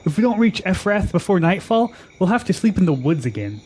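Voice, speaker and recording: An actor playing a proud, stubborn warrior in a fantasy radio drama, speaking in a brusque, challenging way. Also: male voice, high-pitched, very animated, a little fast, a little mellow, slightly rough, full character voice. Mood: firm, urging.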